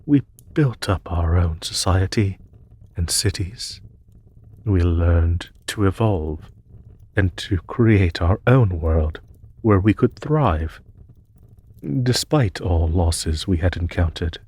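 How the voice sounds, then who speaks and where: A man playing a solemn, wistful demon emperor in an audio roleplay, speaking in a husky voice with slow, measured, sorrowful pauses. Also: low voice, expressive, a little mellow, exaggerated voice. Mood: resigned, reflective. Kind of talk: scripted audio roleplay monologue.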